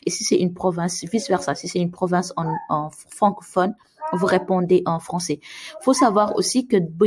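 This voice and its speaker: A woman's voice: lowish voice, a little mellow, a little hiss or hum. A woman hosting an advice channel, answering viewer emails in a casual, friendly way with many hesitations and fillers. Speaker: immigration advice channel host.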